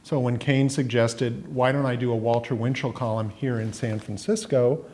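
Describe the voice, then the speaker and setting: A man's voice: medium pitch, steady tone, moderate pace, mellow, slight background noise. An American man in his sixties telling stories conversationally in a documentary interview. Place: indoor room with staircase, seated. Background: music.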